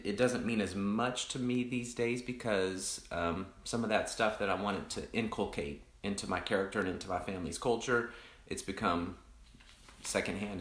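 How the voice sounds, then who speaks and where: A man, a pastor casually chatting with online viewers in a rambling, reflective way, his voice deepened by allergies. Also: fairly bright tone, echoey room. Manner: rambling, many restarts and fillers, greets viewers by name. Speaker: pastor hosting an online coffee chat.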